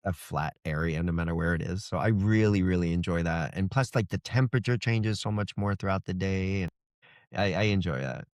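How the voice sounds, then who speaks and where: Man with a lowish voice, chatting casually. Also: brisk, dry recording, clean studio sound. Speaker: author guest being interviewed. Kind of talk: casual chat.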